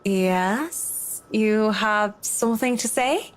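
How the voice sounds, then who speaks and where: A woman's voice: mid-range voice, extremely slow, low-quality recording. Reserved, dry professional woman. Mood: polite, reserved. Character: reserved, blunt professional woman designer in a horror game. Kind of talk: visual novel voice acting.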